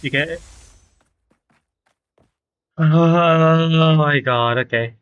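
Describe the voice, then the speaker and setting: A man commentates casually on a live game stream. His voice sits a little high, and he talks haltingly, repeating words for emphasis. The audio is dull and lo-fi. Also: fairly bright tone, clear, resonant tone.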